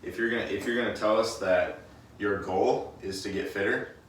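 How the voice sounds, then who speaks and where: A man's voice: lowish voice, fairly even intonation, moderate pace. A man hosting a casual interview, talking conversationally and laying out his points. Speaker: podcast host, gym coach. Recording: echoey room, distant mic, faint noise.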